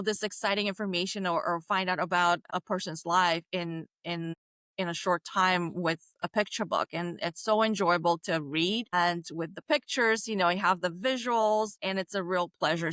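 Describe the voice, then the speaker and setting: A woman's voice: mid-range voice, no echo. Woman, dry close sound. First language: Bulgarian. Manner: animated, laughs often, many restarts. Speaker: guest author. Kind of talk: casual interview answers.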